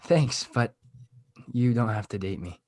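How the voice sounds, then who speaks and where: A man's voice: medium pitch, expressive, unhurried, darkish tone. A man playing an awkward, fragile, lovesick sixteen-year-old boy in a comedy script read. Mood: hopeful, awkward.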